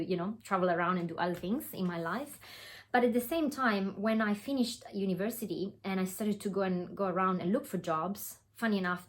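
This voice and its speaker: A woman in her thirties from Italy with an Italian accent, talking straight to her phone camera in an earnest way, with many fillers and restarts. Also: medium pitch. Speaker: online business coach. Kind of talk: direct-to-camera webinar talk. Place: home room.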